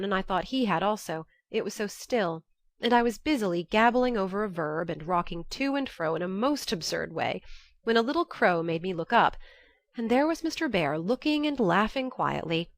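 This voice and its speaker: A woman reading scripted first-person literary narration for an audiobook, telling it in a measured, expressive way. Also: mid-range voice, no room sound, clean studio sound.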